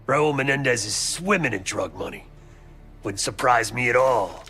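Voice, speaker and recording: An actor playing a blunt, wry American covert operative in a video game drama, talking casually and loyally. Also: male voice, mid-range voice, animated, normal speed, pushed and bright, soft background music. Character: gruff, streetwise, loyal covert operative, adult man. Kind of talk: video game cutscene acting.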